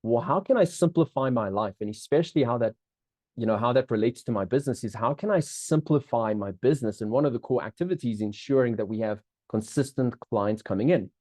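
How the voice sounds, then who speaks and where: A middle-aged man with a South African accent talks through a live online masterclass, upbeat and chatty, looping back on his phrases and turning to the audience often. His voice is warm and mellow, sitting at an average pitch. The sound is dry and close, with no room echo. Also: fairly quick. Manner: upbeat, chatty, many restarts, keeps checking in with the audience. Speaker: business coach hosting a live webinar.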